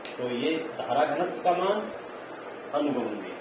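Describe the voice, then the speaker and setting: A man's voice: a little high, sing-song, a little slow. A man teaching physics in an explanatory, repetitive way, checking in as he goes and mixing in English terms. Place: echoey room. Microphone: distant microphone. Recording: very echoey, distant mic, clear noise.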